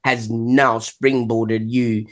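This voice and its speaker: A man's voice: mid-range voice, level tone, extremely slow. A man hosting a podcast interview, chatty with light jokes and many repeated "you know"s. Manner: warm-hearted, joking, repeats "you know". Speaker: podcast host.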